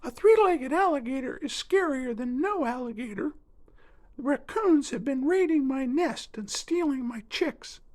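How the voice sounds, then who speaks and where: A man voicing an earnest, good-hearted male spoonbill bird in a children's story, in a squeaky falsetto. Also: animated, a little slow, darkish tone. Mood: pleading, coaxing.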